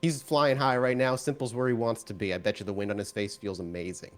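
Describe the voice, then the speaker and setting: A man improvising narration as the game master of a tabletop game in a conversational, animated way. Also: medium pitch, quick pace.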